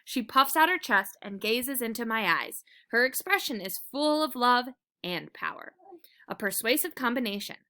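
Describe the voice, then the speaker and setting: Woman, children's read-aloud, teacherly, dramatic storytelling, slightly high pitch, bright tone. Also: expressive, moderate pace. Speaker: teacher reading aloud to students.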